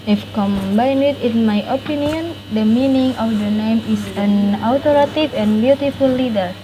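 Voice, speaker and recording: A nineteen-year-old Indonesian woman, a university student with an Indonesian accent, reading her life story in English slowly and earnestly. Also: fairly high pitch, background noise.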